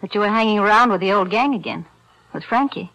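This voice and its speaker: A woman's voice: medium pitch, quick pace, a bit bright, natural voice, low-quality recording. An actress playing a worried young woman, earnest and pleading, in 1940s radio-drama style. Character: anxious young woman, the loyal girlfriend.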